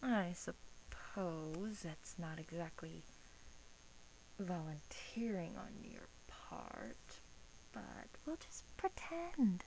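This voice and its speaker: A woman playing a bored, teasing vampire guard in a soft-spoken ASMR roleplay, coaxing in hesitant, drawn-out phrases with an undertone of menace. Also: on the low side, expressive, creaky, heavily acted. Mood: coaxing, apologetic. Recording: faint background hiss.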